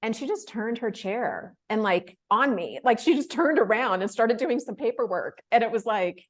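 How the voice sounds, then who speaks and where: A woman in her thirties, a guest in an interview, telling her story in a casual, animated way, laughing often and acting out the voices of people she quotes. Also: fairly high pitch, normal speed. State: emotional, close to tears. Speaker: interview guest, fellowship winner.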